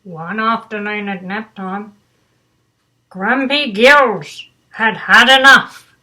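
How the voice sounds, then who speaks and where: A woman's voice: mid-range voice, takes it slowly, pushed and bright. An older woman reading a children's bedtime story aloud with doting, grandmotherly asides. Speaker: storybook reader.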